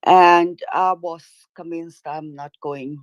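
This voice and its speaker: A woman's voice: sits low, fairly even intonation, slow, no room sound, dull audio. A middle-aged woman from northern Sweden with a Swedish accent, calling in and speaking careful, hesitant English as a non-native speaker. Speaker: caller. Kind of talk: casual chat.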